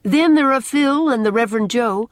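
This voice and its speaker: A woman voicing a cheerful, romantic young bride-to-be in an audiobook, expressive and lyrical with a teasing touch. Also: a little high, normal speed, no room sound, studio quality, pitched above the performer's own voice, close to an everyday voice. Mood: chatty. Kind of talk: audiobook character dialogue.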